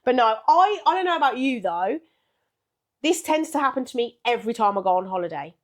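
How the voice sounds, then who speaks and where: Brit woman, kinda high voice, croaky from being sick, chatty co-host. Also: very animated, fairly quick. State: croaky after illness. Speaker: podcast co-host. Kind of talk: casual chat.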